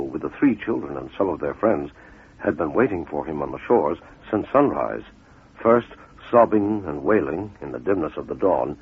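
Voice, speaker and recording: A man narrating a fable as a scripted dramatic storyteller, in measured, deliberate phrases with pauses for effect, on a muffled radio recording. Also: sits low, slightly rough.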